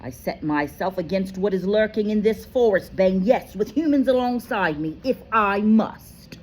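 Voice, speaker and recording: Noble male centaur, grave and earnest, raised pitch, read by a woman. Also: sing-song, measured pace, a little mellow, slightly rough, exaggerated voice. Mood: fierce. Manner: solemn, earnest.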